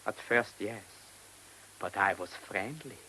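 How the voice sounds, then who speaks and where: An actor in a radio drama playing a cunning, self-assured German man who speaks with deliberate, mocking polish in a German accent. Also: fairly low pitch, bright, forceful tone, full character voice, low-quality recording. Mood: grave, measured. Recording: hissy old radio play recording.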